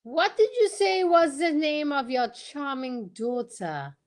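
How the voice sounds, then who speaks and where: A woman voicing a shrewd male detective in an amateur radio drama, formal and halting. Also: high voice, animated. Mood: pondering. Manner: formal, deliberate, halting, peppered with French phrases.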